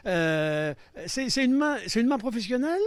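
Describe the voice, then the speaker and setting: A man with a fairly high voice hosting a live interview outdoors by the sea. He teases his guest with playful questions. The sound is dry and close. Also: very animated, normal speed, clean tone, no room sound. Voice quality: playful, teasing. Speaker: host. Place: outdoors by the seafront.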